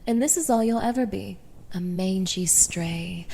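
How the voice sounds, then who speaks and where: A woman plays a haughty, mocking young woman in a scripted audio roleplay, addressing the listener with open contempt. Her mid-pitched voice has a gravelly creak as she taunts and gives orders. Long reverb surrounds every word. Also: a little slow, warm tone. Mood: scornful, menacing. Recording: reverberant, echoing room.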